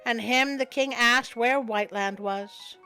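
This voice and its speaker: A woman's voice: on the high side, a little slow, bright, forceful tone, faint music behind. A woman storyteller narrating a folktale expressively, with wry spoken asides. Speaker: storyteller, host.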